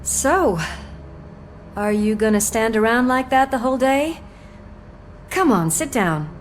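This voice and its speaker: A woman's voice: on the high side, lively intonation, unhurried, slight background noise. A woman acting a supportive, teasing police partner in a video game, informal and wry. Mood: wry, caring.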